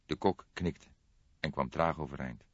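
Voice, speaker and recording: A man's voice: extremely low pitch, measured pace. A man narrating a crime novel as an audiobook, reading scripted prose in a dramatic storytelling style. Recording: dull lo-fi audiobook, roomy echo.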